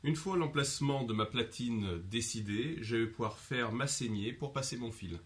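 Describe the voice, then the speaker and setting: A man calmly narrating a home improvement job step by step, describing each action as he goes. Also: medium pitch, moderate pace, heavy background noise. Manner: methodical, explains each step in first person. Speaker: DIY instructor.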